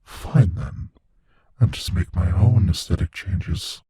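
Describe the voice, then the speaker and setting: A very husky, breathy monster, acted by a man speaks low and close in an ASMR roleplay. He is gleefully sadistic, taunting the listener slowly and savoring every cruel word. The sound is dry and intimate. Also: warm tone, dry recording, full character voice. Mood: mocking, playful menace. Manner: slow, taunting, menacing, savoring words.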